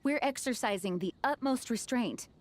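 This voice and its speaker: A woman's voice: on the high side, lively intonation, moderate pace, a little hiss or hum, close to an everyday voice. A woman voicing a modest, dutiful young knight leader in an anime game, speaking politely and formally. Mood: flustered, apologetic.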